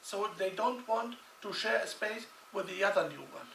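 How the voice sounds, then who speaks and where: A man's voice: high voice, expressive, moderate pace, bright, forceful tone. A man from Lebanon giving a conference talk in non-native English, emphatic and repeating phrases for stress. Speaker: conference speaker. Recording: echoey hall, distant mic, noisy.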